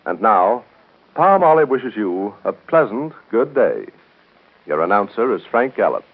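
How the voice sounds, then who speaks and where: A man's voice: on the low side, sing-song, measured pace, husky. A man announcing formally from a script in 1930s radio style. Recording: tinny 1930s radio broadcast with faint music.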